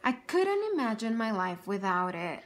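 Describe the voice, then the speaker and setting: A woman's voice: a little high, moderate pace, a little hiss or hum. A woman teacher reading a picture book aloud to children, telling it expressively and stopping to ask them questions. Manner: expressive storytelling with questions for young listeners.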